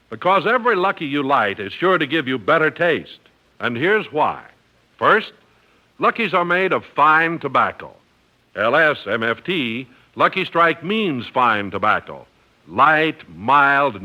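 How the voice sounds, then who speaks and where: A man's voice: on the high side, sharp tone. A man announcing on 1950s radio with a polished salesman's delivery. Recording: dull 1950s radio recording.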